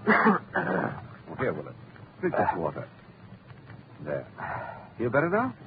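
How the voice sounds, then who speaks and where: A man's voice: low voice, huge pitch swings, slow pace, very noisy, heavily acted. A man playing a genial, worried middle-aged clubman, in 1940s radio acting style, talking chattily with nervous laughs. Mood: concerned. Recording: tinny lo-fi old radio recording.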